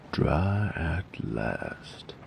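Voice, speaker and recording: A man playing a weary, sardonic knight in a fantasy audio drama, grumbling and teasing in a gravelly, folksy drawl. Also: a little mellow, a little hiss or hum, heavily acted. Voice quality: gravelly, very deep.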